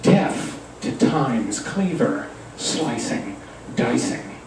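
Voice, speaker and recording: Male poet at a live reading: slow, animated, theatrical verse delivery, recorded in a very reverberant room. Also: mid-range voice. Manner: theatrical, deliberate verse delivery with wry asides. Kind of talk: dramatic live poetry reading. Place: pub reading room, indoors. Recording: echoey room, distant mic, faint noise.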